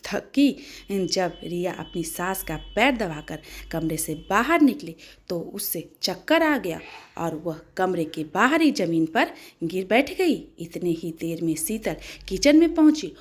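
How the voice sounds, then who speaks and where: Woman storyteller with lively, swooping intonation. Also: fairly low pitch, slow pace, breathy edge. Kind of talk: dramatic moral story narration.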